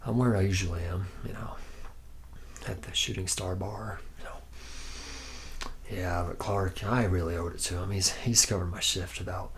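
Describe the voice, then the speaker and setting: A man's voice: low voice, steady tone, unhurried, a little hiss or hum. A man playing a laid-back, boozy, womanizing factory worker from the future in an ASMR roleplay, rambling slangily and often dropping into a whisper. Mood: casual instructing.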